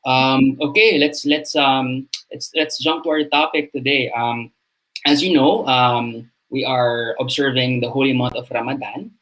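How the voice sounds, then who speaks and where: A man with a mid-range voice hosts an interview over a video call. He speaks haltingly, often filling pauses with fillers as he asks his guest questions. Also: medium pitch, steady tone, fairly bright tone, rough recording. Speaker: interview host.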